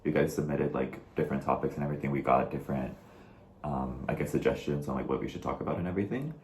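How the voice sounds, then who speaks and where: A man's voice: very deep voice, fast, roomy sound, slight background noise. A young man from Texas co-hosting a casual talk show over a video call, chatty and full of "like" and "I mean". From Dallas, Texas. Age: young adult. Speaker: co-host. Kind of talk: casual chat.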